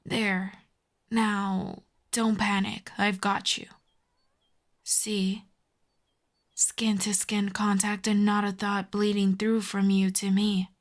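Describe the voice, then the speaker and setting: Slow-talking, cool villainess, acted by a woman. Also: mid-range voice, little pitch movement, lightly acted. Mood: firm, reassuring. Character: telepathic female villain, cool, commanding, grudgingly tender. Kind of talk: roleplay ASMR audio drama, close and intimate.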